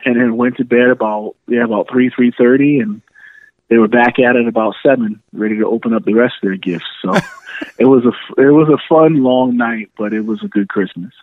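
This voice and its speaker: A man's voice: medium pitch, brisk, no room sound. A man in his thirties from New York City, chatting as a podcast guest over the phone and laughing through his stories. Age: 30s. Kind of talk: casual phone interview. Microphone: phone line. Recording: tinny phone line.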